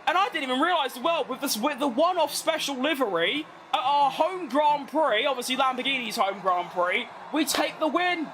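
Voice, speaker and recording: Streamer, British man, worn out after a long day, rambling and self-mocking, pitched very high. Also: expressive, normal speed, few pauses, sharp tone, slight background noise. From Britain. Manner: chatty, rambling, self-deprecating. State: tired from being awake all day. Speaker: gaming streamer. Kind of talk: casual live-stream gameplay chat.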